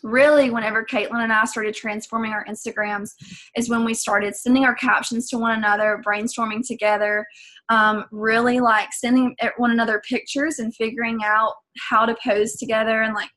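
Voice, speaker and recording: A woman's voice: fairly high pitch, little pitch movement, moderate pace, a bit bright, poor audio. A young woman with a Southern US accent, talking casually and earnestly about her coaching business, with lots of fillers. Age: young adult. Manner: chatty, filler-heavy, earnest. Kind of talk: casual vlog talk to camera.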